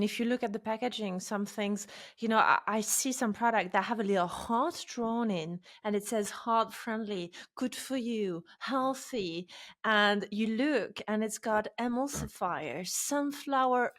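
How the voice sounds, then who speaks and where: A woman's voice: mid-range voice, normal speed, a bit bright. A woman hosting a podcast interview, chatty and enthusiastic. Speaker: podcast host.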